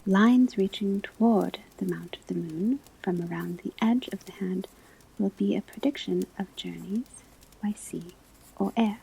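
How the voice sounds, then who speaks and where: A woman whispering in a breathy, husky voice, delivering a scripted ASMR classroom lecture as a teacher, with deliberate, measured phrasing. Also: mid-range voice, mellow, rough recording. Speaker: ASMR performer playing a divination class teacher.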